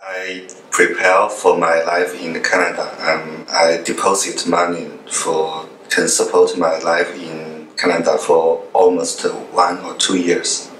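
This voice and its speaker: A middle-aged man, speaking haltingly in a documentary interview. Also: low-pitched, level tone, fairly bright tone. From China. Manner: halting, simple phrasing. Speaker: immigrant interviewee. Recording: roomy room, distant mic, faint noise.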